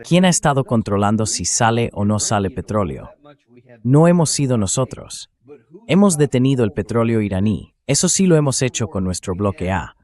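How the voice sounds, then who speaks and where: A man's voice: lowish voice, a little mellow. A man hosting a political commentary show in a dubbed translation read by a synthetic voice, often posing incredulous rhetorical questions.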